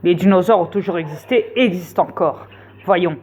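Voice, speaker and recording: A woman's voice: on the low side, brisk, warm tone, husky. A woman lecturing viewers insistently in a rambling casual monologue, with many fillers. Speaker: conspiracy video host.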